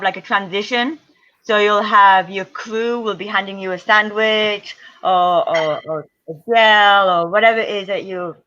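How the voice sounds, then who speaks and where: Middle-aged woman chatting in an interview, bright crisp voice, acts out the people in her stories, kinda dull lo-fi sound. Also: medium pitch. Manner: lively anecdotes acting out quoted voices. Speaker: guest ultra-distance triathlete. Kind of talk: casual interview storytelling.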